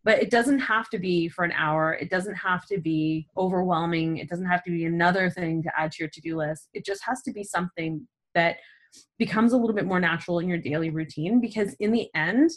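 Animated woman chatting on a wellness podcast. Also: lowish voice. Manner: animated, laughs often, many fillers like "like" and "um". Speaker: podcast co-host. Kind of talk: casual chat about stretching and wellness.

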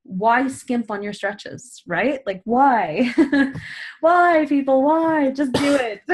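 Animated woman chatting on a wellness podcast. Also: high-pitched, very slow. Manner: animated, laughs often, many fillers like "like" and "um". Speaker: podcast co-host. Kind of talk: casual chat about stretching and wellness.

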